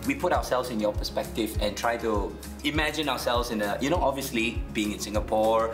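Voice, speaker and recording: Easygoing man over loud guitar. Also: mid-range voice, fairly quick. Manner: easygoing, with repeated words. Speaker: songwriter and guest mentor. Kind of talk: casual chat and singing. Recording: echoey room under loud music. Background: guitar music.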